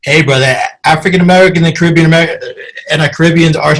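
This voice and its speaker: Emphatic podcast host, heartfelt. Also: male voice, fairly high pitch, steady tone, quick pace, fairly bright tone. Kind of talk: conversational interview.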